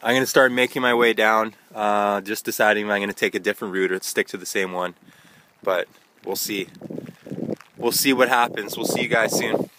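A man's voice: fairly low pitch, fairly even intonation, moderate pace. A young man casually narrating a hiking vlog outdoors into a handheld phone. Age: young adult. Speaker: hiking vlogger. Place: outdoors on a wet, windy mountain trail. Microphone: handheld phone at arm's length. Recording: windy, noisy handheld phone recording outdoors. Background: loud wind and rain noise.

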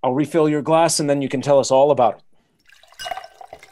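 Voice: medium pitch, steady tone, moderate pace, a little mellow, slight background noise, natural voice. An actor playing a genial, curious old father in a radio play, hearty, chatty and inquisitive.

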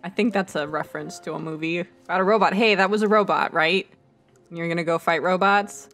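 A woman improvising a game dub as a blunt, exasperated young ex-girlfriend, casual and deadpan. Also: fairly low pitch, a little fast, faint music behind. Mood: casual, nerdy. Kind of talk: improvised comedic game fandub.

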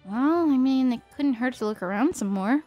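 Woman as a high-voiced, spirited young pirate detective. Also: lively intonation, moderate pace, warm tone. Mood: pensive. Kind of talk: fan audio drama acting. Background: music.

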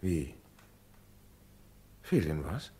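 An actor playing a composed, kindly gentleman neighbour in a 1960s radio play, husky and soothing, with educated, measured speech. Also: very deep voice, very animated, heavily acted. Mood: concerned, soothing.

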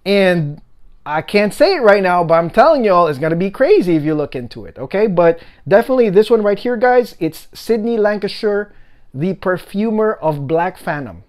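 A young man chatting casually to the camera in a perfume review video, animated and talkative, often adding "guys" and "okay?". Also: high voice, moderate pace. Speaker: fragrance reviewer. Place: home room, indoors.

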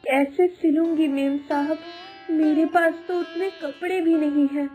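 A woman's voice: high-pitched, steady tone, unhurried, darkish tone, soft background music. A woman voicing a poor, humble village mother and maid in a cartoon story, with a plaintive, hesitant manner. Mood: humble, pleading. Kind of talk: Hindi cartoon story dubbing.

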